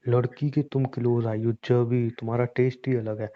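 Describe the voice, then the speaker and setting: Muffled low-fidelity audio, young adult man, casual boyfriend talk, teasing, pushy. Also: level tone, moderate pace. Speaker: boyfriend in a couple's conversation. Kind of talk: casual intimate couple chat in Hindi. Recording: dull, lo-fi call-style recording.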